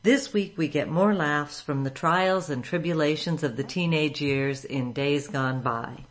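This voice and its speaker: Woman, low voice, radio host, scripted narration, show introduction. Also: a little slow.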